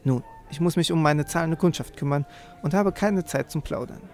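A man with a creaky voice hosting a let's play, commenting on the game and reading quest text aloud. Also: a little high, moderate pace, a little mellow. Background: game music.

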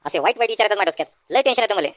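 A woman's voice: medium pitch, expressive, racing, close to an everyday voice. A woman voicing an anxious, naive village man in a comic cartoon, rambling with hesitant fillers in a rural Vidarbha Marathi accent. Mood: fretful. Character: anxious rustic village man, naive worrier visiting a doctor.

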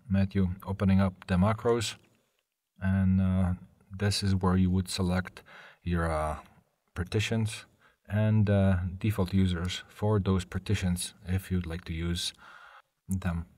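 Low-voiced man presenting a software tutorial. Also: fairly even intonation, slow, no room sound, studio quality. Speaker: webinar presenter, technical specialist. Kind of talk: live software tutorial narration. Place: voice-over on a screen-share webinar.

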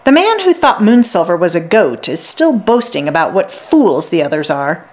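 A woman voicing a kindly, brisk, plain-spoken healer woman from a children's storybook. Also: lowish voice, very animated. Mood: matter-of-fact.

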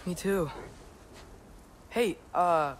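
Earnest teenage boy hero, higher-pitched. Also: noisy background. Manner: hesitant, halting. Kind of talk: video game voice acting.

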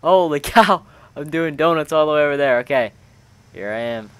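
A man's voice: on the high side, lively intonation, moderate pace, a bit bright, clean tone. A man hosting a gaming video, chatting in informal slang as he roleplays and comments on the game with friends. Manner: chatty, informal, peppered with bro-style slang.

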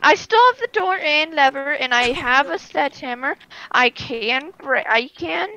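A woman playing a bossy, excitable animatronic in an improvised game roleplay, chattering and stumbling over her words. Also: sits high, unhurried, heavily acted. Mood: matter-of-fact. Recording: dull lo-fi game voice chat.

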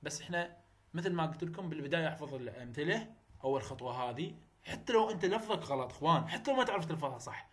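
A young man with an Iraqi Arabic accent teaching English phonetics in a recorded lecture, repeating model words loudly and slowly. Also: a little high, expressive.

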